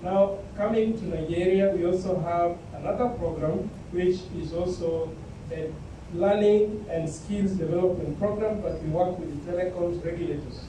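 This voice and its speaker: High-voiced man in an echoey hall. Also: normal speed, background noise. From Kenya. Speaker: panelist from a public ICT organization. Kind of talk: panel talk. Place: conference hall. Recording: echoey hall, far from the mic.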